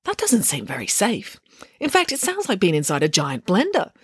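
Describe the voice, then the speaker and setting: Storybook role: woman as an easygoing boy who answers haltingly, mild and a little unsure. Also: medium pitch, huge pitch swings, breathy edge, no room sound, studio quality, exaggerated voice. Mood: mildly reasoning.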